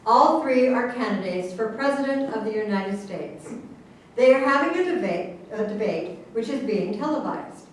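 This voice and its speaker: In a heavily echoing room, a woman reads stage directions from a script. The voice is fairly high and sits away from the microphone, with faint noise. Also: lively intonation, normal speed. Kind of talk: scripted narration at a staged reading. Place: live staged reading in an echoey room. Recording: echoey room, distant mic, faint noise.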